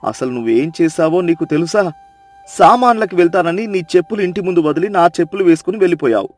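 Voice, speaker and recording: A woman doing a gruff scolding husband. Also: on the low side, normal speed, mellow, soft background music, pitched below the performer's own voice, natural voice. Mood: angry scolding. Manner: scolding, exasperated. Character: scolding middle-aged village husband, short-tempered.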